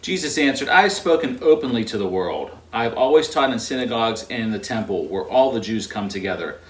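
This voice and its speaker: A man's voice: mid-range voice, animated, a little fast. A man reading a Bible passage aloud as a lay reader. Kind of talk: scripture reading. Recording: echoey, distant from microphone.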